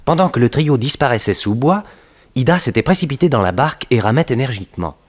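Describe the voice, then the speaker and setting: An actor serving as narrator of a 1960s French radio detective serial, reading scripted storytelling passages. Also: male voice, medium pitch, lively intonation, fast, natural voice.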